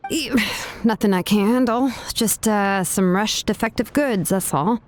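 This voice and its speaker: A woman's voice: medium pitch, a little slow, a little hiss or hum, close to an everyday voice. A woman voicing a weary, exacting but easygoing blacksmith in a video game, casual and considerate. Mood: tired, reassuring.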